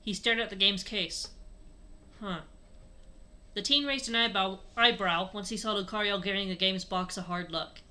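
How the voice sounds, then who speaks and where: A woman's voice: mid-range voice, moderate pace, pushed and bright. A woman narrating a story aloud as a co-host, with casual asides. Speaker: co-host reading fan fiction aloud.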